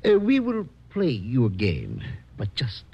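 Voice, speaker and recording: A cagey foreign gambler in an old radio adventure. He is husky and politely formal, speaking slowly with big swoops of pitch. The recording is dull and dated. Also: huge pitch swings, full character voice. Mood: shrewd, polite. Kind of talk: 1950s radio drama acting.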